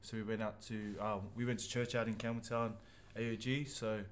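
Young man, relaxed, faint hiss behind. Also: fairly low pitch, brisk. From Australia. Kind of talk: casual chat.

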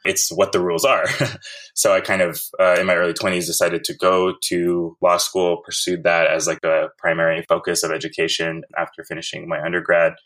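Low, flat-voiced man in his thirties. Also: a little fast. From Central California, USA. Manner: reflective, frequent "like" and "kind of" fillers. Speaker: guest. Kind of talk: casual conversational interview.

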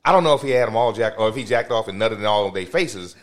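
A man's voice: lowish voice, expressive, fast talker. A man in his fifties telling crude stories on a casual podcast, rambling and restarting his phrases. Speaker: podcast co-host. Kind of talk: casual chat, crude storytelling.

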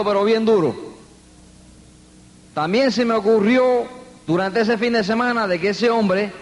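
A man's voice: high voice, big-room sound. An older man from New York giving an earnest motivational speech to a live audience. He tells the story with emphatic repetition. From New York, United States. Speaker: motivational speaker.

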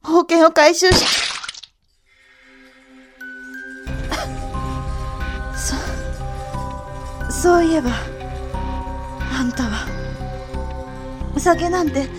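A woman's voice: very high voice, slow pace, pauses often, over music. A woman playing a proud, shrewd noble elder sister in a voice drama, haughty, theatrical and formal. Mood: strained triumph. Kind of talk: voice drama acting.

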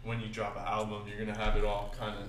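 A man interviewing a musician in an easygoing way, thinking out loud as he talks. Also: lowish voice, monotone, quick pace. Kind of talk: casual interview chat. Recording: roomy with faint background noise.

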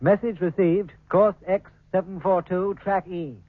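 High, formal control operator. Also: male voice, steady tone, a little slow, no echo. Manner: formal, procedural. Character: efficient, formal male moon-base control operator. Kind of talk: 1950s radio serial acting. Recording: dry, muffled vintage radio broadcast.

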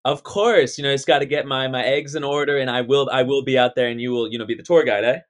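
A man's voice: mid-range voice, fairly even intonation, fast. A young man hosting a casual podcast interview, laid-back and slangy, rambling loosely as he asks questions. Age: young adult. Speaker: podcast host.

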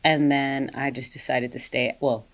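Very low-voiced woman, chatty yoga teacher. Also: little pitch movement, measured pace. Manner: chatty, laughs often, warm storytelling with acted quotes. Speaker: yoga instructor and business owner. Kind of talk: interview guest, casual storytelling.

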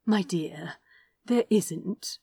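A woman's voice: on the high side, sing-song, takes it slowly, slightly rough, dry recording, voice pushed up, full character voice. A woman voicing a fussy, stubborn old male angel who speaks in a prim, coaxing way. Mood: coaxing, insistent. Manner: prim, coaxing, soft-spoken.

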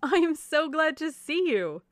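A woman voicing a merry, silly young married gentlewoman in a classic novel, effusive and giggly. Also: mid-range voice, expressive, normal speed, no room sound, clean studio sound, natural voice. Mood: gushing, merry. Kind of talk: audiobook character voice, classic novel.

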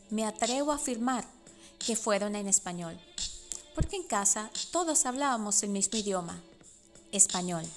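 A woman in her forties with a Venezuelan Spanish accent, reading her own personal essay in a reflective, measured way. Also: on the high side. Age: 40s. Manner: reflective, measured, with soft laughs. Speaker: podcast host and writer.

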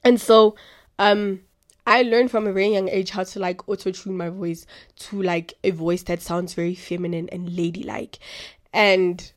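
A young woman hosting a podcast, talking about faith in a chatty, rambling way full of fillers, with a naturally deep voice. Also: steady tone, moderate pace. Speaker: podcast host. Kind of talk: casual faith talk.

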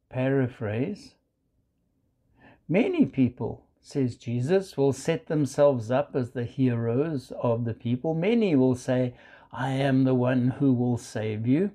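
A man with a South African accent, preaching a measured sermon and quoting scripture. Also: mid-range voice, warm tone. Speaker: pastor.

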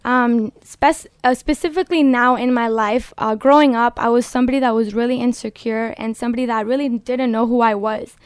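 A passionate young woman in her twenties, originally from the Dominican Republic, talking openly about her faith as a podcast guest. Her voice sits a bit high and sounds smooth and resonant. It is recorded close and dry in a studio. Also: fairly even intonation, brisk, hardly any pauses, dry recording. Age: 20s. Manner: earnest and passionate, exhorting listeners. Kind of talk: casual faith-based podcast talk.

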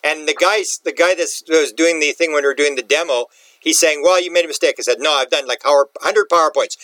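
A man's voice: on the high side, brisk, fairly bright tone, dry recording, rough recording. A man in a casual discussion, speaking informally and repeating himself mid-sentence as he asks and explains. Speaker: interview participant asking questions.

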